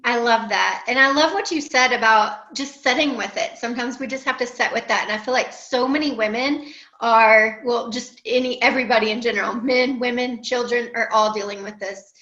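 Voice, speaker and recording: A woman's voice: fairly high pitch, moderate pace, pushed and bright. A woman co-hosting a show in casual, conversational talk over dull, echoing audio. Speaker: show co-host. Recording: dull, echoey call audio.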